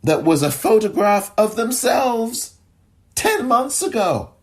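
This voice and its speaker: A middle-aged man delivers a solo podcast commentary in a husky, slightly strained voice that cracks from excitement. He talks slowly and deliberately, swinging widely in pitch and jumping high whenever he turns sarcastic or indignant. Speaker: podcast host.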